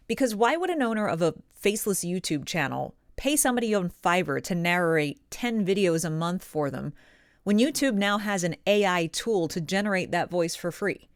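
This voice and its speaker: A woman hosting a vlog as a professional voice actor, speaking straight to the audience in emphatic, deliberate phrases with pointed pauses. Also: on the low side, no echo, clean studio sound. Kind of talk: direct-to-audience scripted vlog monologue.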